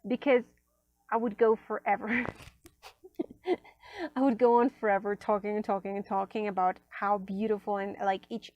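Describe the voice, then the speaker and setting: A woman whose first language is Spanish, casually reviewing an oracle deck at a table, chatty, with many fillers and soft near-whispered asides. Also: medium pitch, steady tone, unhurried, pauses often, a little hiss or hum. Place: indoors at a table.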